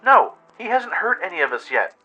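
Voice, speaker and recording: A man's voice: sits high, very animated, moderate pace, sharp tone, muffled recording, soft background music, voice pushed up, exaggerated voice. A man voicing an earnest, kind and anxious young pony in a radio play. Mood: pleading, earnest.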